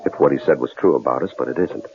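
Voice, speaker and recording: A devoted, resourceful husband talks in a very deep, gravelly voice. He is reassuring and low-key, as in an old radio drama, on a hissy narrow-band recording. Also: very fast. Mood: earnest, pleading. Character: protective, resourceful, devoted husband held captive.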